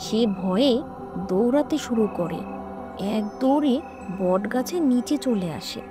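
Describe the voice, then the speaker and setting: A woman's voice: on the high side, lively intonation, measured pace, music in the background. A woman reading scripted narration for a cartoon horror story.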